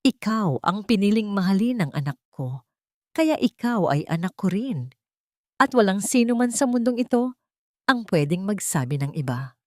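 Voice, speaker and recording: A woman narrator voicing a gentle, wise older mother-in-law who speaks earnestly and firmly in a story. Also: medium pitch, very animated, normal speed, no echo, studio quality, natural voice. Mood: firm, loving.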